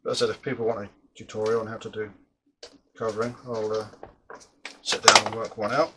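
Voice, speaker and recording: A man's voice: lowish voice, flat delivery, brisk, heavy background noise. A middle-aged man chatting casually while building a model aircraft, rambling and often restarting his sentences.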